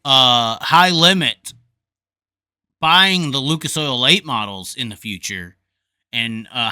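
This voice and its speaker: Forceful, bright-voiced man talking shop. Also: mid-range voice. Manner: blunt, folksy, swearing. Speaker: host of a motorsports talk show. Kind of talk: opinionated casual talk, commentary.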